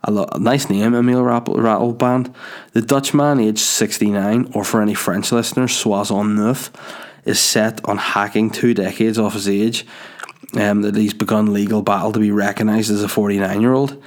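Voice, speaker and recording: Bloke rambling, crude jokes, lowish voice, chatty host. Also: male voice, steady tone, moderate pace. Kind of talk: casual commentary.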